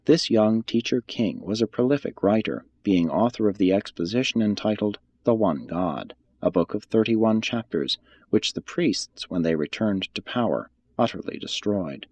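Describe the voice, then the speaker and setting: A man reading a religious text aloud as scripted narration, in a measured, formal manner. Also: lowish voice, expressive, a little mellow, dry recording. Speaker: audiobook reader.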